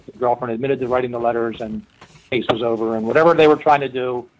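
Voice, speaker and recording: A man's voice: medium pitch, steady tone, fast talker, a little hiss or hum, poor audio. A middle-aged man with a Philadelphia accent, a forensic linguist telling stories in an interview, rambling with many fillers and restarts. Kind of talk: casual interview storytelling.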